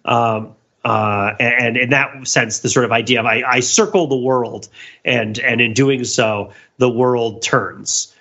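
A man's voice: mid-range voice, steady tone, a little slow, vocal fry, sharp tone, muffled recording. A man co-hosting a podcast, explaining things in a wordy, analytical way in casual pop-culture discussion. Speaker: podcast host.

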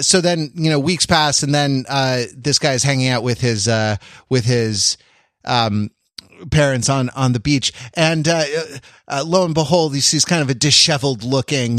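A man hosting a podcast, telling anecdotes casually in a halting, wry, rambling way. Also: mid-range voice, fairly bright tone, no room sound, clean studio sound. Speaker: podcast host.

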